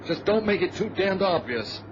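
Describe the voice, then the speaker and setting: A man's voice: sits high, very animated, moderate pace. A man playing a composed, wry airline captain in a radio drama, heard on a muffled, noisy old recording. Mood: decisive. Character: calm, wry male airline captain in charge. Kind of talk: vintage-style radio drama acting.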